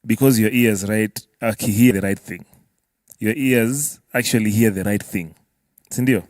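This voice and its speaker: A man explaining casually and deliberately as a guest on a panel, checking that listeners follow. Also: lowish voice, darkish tone, no room sound, clean studio sound. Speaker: music producer, panel guest.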